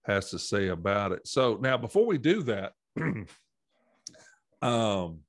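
A man hosting a church podcast, talking through the topic in a casual, rambling way, his voice slightly hoarse from allergies. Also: on the low side, sing-song, slow pace, dry recording, clean studio sound. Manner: rambling, many fillers and restarts. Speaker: podcast host. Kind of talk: casual teaching conversation.

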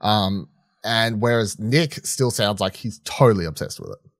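A man co-hosting a podcast, chatting casually and swearing freely, with a halting, rambling way of talking that often restarts mid-sentence. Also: on the low side, expressive, no echo, studio quality. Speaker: podcast co-host reviewing film and TV. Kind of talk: casual unscripted chat.

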